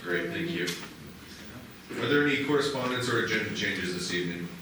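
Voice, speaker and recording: A man's voice: on the low side, expressive, a little fast. A middle-aged man chairing a city assembly meeting as mayor, businesslike, with frequent uh fillers. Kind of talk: formal meeting procedure. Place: council chamber. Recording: echoey chamber, distant mic, loud hum.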